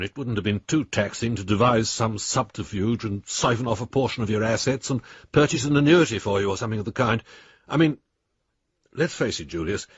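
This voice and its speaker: An actor playing a long-suffering lawyer, reading letters to a friend in a dry, measured, mildly exasperated manner in a radio drama. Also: male voice, mid-range voice, breathy edge, dry recording, highs cut off, lightly acted.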